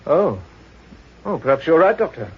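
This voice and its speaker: An actor playing an uneasy, inquisitive, deferential gentleman in an old-time radio mystery. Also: a little high, sing-song, normal speed, husky, full character voice. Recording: dull, muffled old radio recording.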